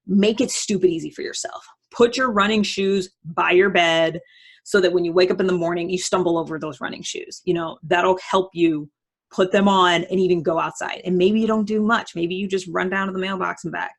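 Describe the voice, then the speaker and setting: A woman's voice: on the low side, steady tone, fairly quick, creaky, breathy edge. Woman host chatting casually about advice, emphatic, kinda acts out what people tell themselves. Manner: conversational, emphatic, acts out imagined self-talk. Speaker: podcast host giving self-improvement advice. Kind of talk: casual motivational talk.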